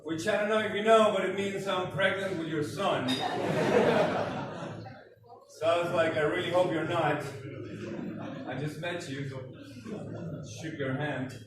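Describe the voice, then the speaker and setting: A man's voice: a little high, unhurried, pauses often. A young Mexican man with a Mexican Spanish accent, doing a self-deprecating stand-up comedy set and acting out scenes. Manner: self-deprecating, acts out scenes in put-on voices. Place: large room with live audience laughter. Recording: echoey hall from far off, room noise.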